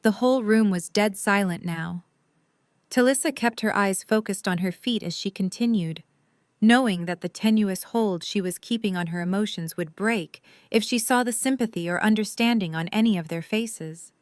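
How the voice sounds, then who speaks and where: A woman's voice: medium pitch, moderate pace, no room sound. Dry, close-sounding female story narrator.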